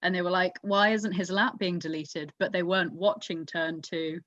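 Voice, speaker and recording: A woman's voice: on the low side, fairly even intonation, moderate pace, a bit bright. A young woman hosting a podcast in casual chat, on a dull, roomy lo-fi recording. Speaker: motor racing podcast host.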